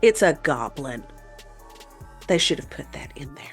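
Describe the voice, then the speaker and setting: A woman's voice: low voice, animated, measured pace, soft background music. A woman co-hosting a talk show, telling a story in a conversational way. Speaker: podcast host telling a paranormal story.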